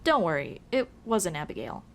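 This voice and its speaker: A woman's voice: on the low side, very animated, normal speed. A woman playing a young tomboy childhood friend, casual, teasing and caring, in a scripted ASMR roleplay. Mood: relaxed, teasing. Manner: casual, teasing, addresses listener as dude. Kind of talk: ASMR roleplay, scripted one-sided conversation.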